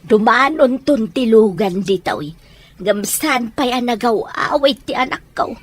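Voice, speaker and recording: A woman's voice: fairly high pitch, animated, a little slow. An actress playing an easygoing, chatty woman companion in an Ilocano radio drama. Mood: chatty, sympathetic.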